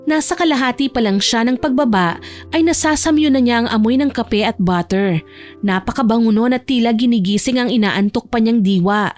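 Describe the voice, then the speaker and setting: High-pitched female story narrator. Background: background music.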